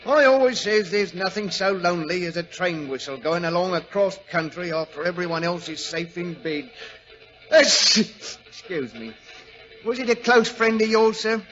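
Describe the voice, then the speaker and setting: An actor playing a chatty, folksy railway guard with a working-class English accent and a sniffly nose, in 1940s radio drama style. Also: male voice, high-pitched, moderate pace, fairly bright tone. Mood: chatty, eerie. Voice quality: sneezy, stuffed-up with a cold. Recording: muffled vintage radio broadcast with music.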